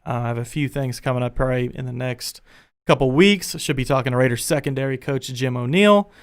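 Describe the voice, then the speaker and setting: A young man hosting a casual sports podcast from his home studio, chatty and energetic, his voice a bit worn. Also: medium pitch, fairly quick, clear, resonant tone. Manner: energetic, chatty, thinking aloud. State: losing his voice. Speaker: podcast host.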